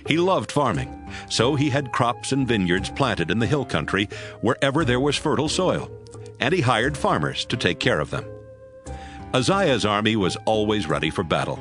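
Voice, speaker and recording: A man's voice: on the low side, very animated, moderate pace, fairly bright tone. A man reading scripture as scripted narration for an audio Bible. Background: music.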